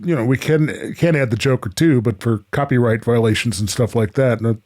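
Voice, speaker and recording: A man's voice: mid-range voice, fairly quick, a little mellow. A man hosting a show with casual chat and reading aloud, in a playful, goofy manner. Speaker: show host and creator.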